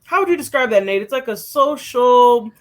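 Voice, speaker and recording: A woman's voice: fairly high pitch, expressive, moderate pace. Chatty young woman co-hosting a podcast. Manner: chatty, filler-heavy, self-correcting. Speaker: podcast co-host. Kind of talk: casual presentation.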